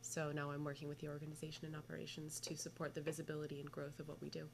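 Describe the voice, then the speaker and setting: A woman's voice: deep voice, monotone, racing, creaky, slight background noise. A woman from California speaking as an interview guest about her work for a nonprofit. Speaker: nonprofit operations staff.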